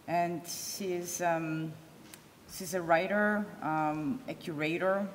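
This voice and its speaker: A low-pitched, middle-aged woman introduces a speaker at a conference, pausing often with ums and uhs. She speaks into a handheld mic at a lectern, and her voice rings through a large hall with a long, roomy reverb. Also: a little hiss or hum. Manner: halting, with frequent ums and uhs. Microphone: handheld microphone.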